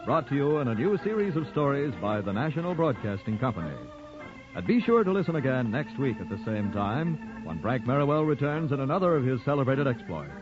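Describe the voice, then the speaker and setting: A man announces in the polished style of 1940s radio. His pitch moves widely as he declaims over music. The recording is dull and old. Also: sing-song, fairly quick.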